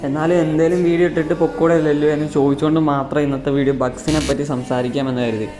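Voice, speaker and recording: A man's voice: a little high, fairly even intonation, very fast, dark tone. A man narrating an informal gaming tutorial, chatty and explanatory, speaking directly to viewers. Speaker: gaming YouTuber. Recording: roomy echoing voice-over under music. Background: background music.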